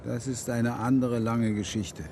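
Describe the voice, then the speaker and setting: An actor playing a gruff, worldly-wise frontiersman in a German radio drama, giving dry, clipped remarks. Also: medium pitch, level tone, a little slow, a little hiss or hum, natural voice. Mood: dry, guarded. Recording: loud music and outdoor sounds behind.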